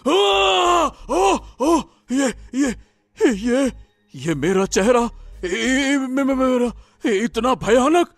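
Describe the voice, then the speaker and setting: A man's voice: extremely high pitch, very animated, very slow, gravelly, no room sound, soft background music, exaggerated voice. A man voicing a vain young cartoon king turned monster, desperate and wrathful, with a stammering, wailing, melodramatic delivery. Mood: horrified. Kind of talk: animated Hindi moral-story acting.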